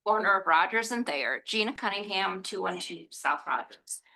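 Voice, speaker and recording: A resident woman addresses a council meeting at public comment. Her voice is loud and bright, and she speaks earnestly about her concern. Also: medium pitch, lively intonation, moderate pace, vocal fry, rough recording. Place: council chamber.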